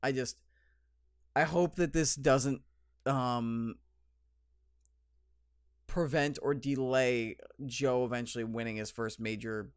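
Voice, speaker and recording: A man's voice: medium pitch, clear, resonant tone, dry recording, studio quality. A young man with a slight cold hosting a solo podcast, reviewing wrestling in a rambling, enthusiastic way with many fillers. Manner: rambling, lots of ums and restarts, enthusiastic.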